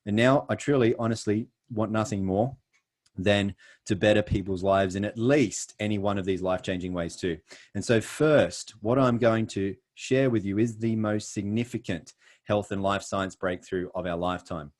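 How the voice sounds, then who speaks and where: A middle-aged Australian man giving an earnest, emphatic sales presentation over a video call, with frequent pauses. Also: on the low side, a little mellow.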